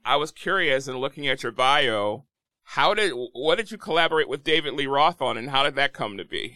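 A man's voice: medium pitch, expressive, brisk, bright, forceful tone, no room sound. A man hosting a casual interview, encouraging the guest and agreeing with him often. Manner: encouraging, frequent backchannel agreement. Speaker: interview host.

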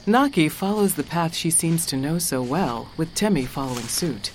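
Low-voiced woman narrator over noisy ambience. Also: animated, normal speed. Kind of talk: scripted story narration.

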